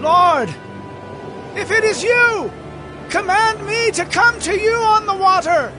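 A man's voice: very high-pitched, expressive, slow pace, full character voice. An actor playing a frightened, desperate male disciple, crying out in a strained voice in a Bible drama. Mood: anxious pleading. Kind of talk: dramatized scripture acting. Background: very loud music.

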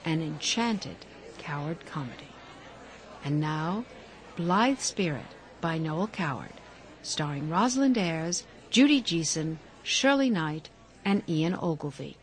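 A woman's voice: low-pitched, expressive, takes it slowly, slight background noise. A woman announcer reading a scripted introduction to a play.

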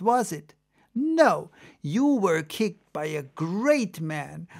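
A man's voice: high-pitched, gravelly, full character voice. A man voicing an old hunchbacked merman who mutters, argues and lectures in a storybook reading.

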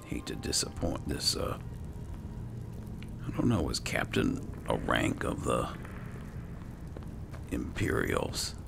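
Very deep, gravelly male gamer. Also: animated, slow pace, pauses often. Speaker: gaming video host. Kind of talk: casual roleplay game commentary. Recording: clear game ambience noise behind voice. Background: video game ambience.

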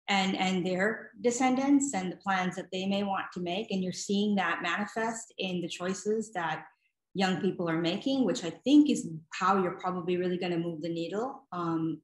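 A woman's voice: on the low side, normal speed. A middle-aged woman answering interview questions thoughtfully, searching for words and repeating them as she goes, on a video call. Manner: hesitant phrasing, repeats words while thinking. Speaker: guest speaker, nonprofit director. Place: home room, indoors. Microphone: video call computer mic. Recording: roomy echo on video call.

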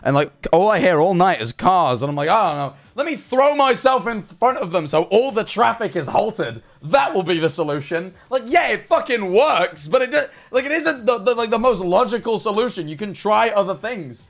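A man's voice: high-pitched, moderate pace, vocal fry, hardly any pauses. A young man, reading viewer messages aloud on a livestream with sarcasm and a lot of swearing. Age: young adult. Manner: animated, sarcastic, swears often. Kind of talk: reading and reacting to chat. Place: small bedroom streaming room. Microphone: headset-style studio mic, seated at desk.

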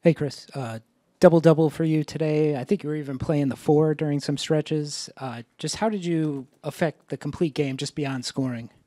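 A man's voice: on the high side, fairly even intonation, moderate pace, warm tone, dry recording, studio quality. A man working as a reporter, asking conversational questions at a press conference.

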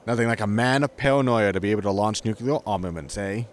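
A man's voice: lowish voice, quick pace, natural voice. An actor playing a grumbling, money-minded, sarcastic spaceship crewman in a sci-fi sitcom audio drama. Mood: sardonic. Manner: sarcastic, exasperated. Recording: roomy echo with noisy ambience.

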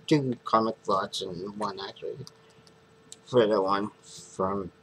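Man, 30s, low voice, unhurried home webcam vlog recorded in a bedroom. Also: fairly even intonation, slight background noise. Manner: slow, plain listing of items. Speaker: comic collector vlogger. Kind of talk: casual show-and-tell vlog. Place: small bedroom, indoors. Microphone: webcam at close range.

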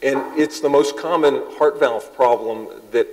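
A man in his thirties, a cardiologist, giving a public lecture to an audience in a large hall. He has a loud voice and talks haltingly, with many ums and restarts. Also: medium pitch. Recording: long hall reverb.

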